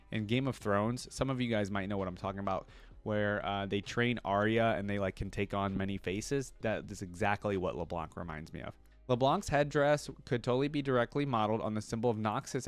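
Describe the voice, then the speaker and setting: A young man hosting a reaction video, reading a fan wiki out loud and thinking aloud about it with plenty of fillers and restarts. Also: medium pitch. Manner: reads out wiki entries, then breaks off with excited exclamations.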